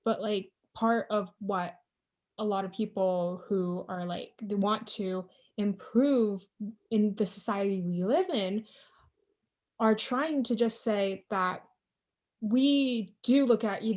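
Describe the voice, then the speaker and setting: A woman's voice: mid-range voice, darkish tone. A woman guest on a podcast, speaking hesitantly with many fillers. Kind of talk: casual discussion.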